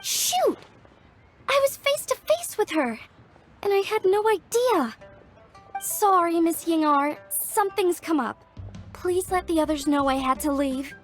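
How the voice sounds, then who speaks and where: An earnest young craftswoman in a game. Her voice is high and very animated, polite and eager, with faint music underneath. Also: unhurried, heavily acted. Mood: flustered. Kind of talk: video game voice acting.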